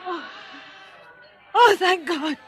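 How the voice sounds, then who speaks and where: A woman's voice: extremely high pitch, expressive, drawn-out words, a bit bright, faint music behind, heavily acted. An actress playing a distraught, tearful young woman captive in a radio drama. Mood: tearful relief. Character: terrified young woman captive, desperately relieved.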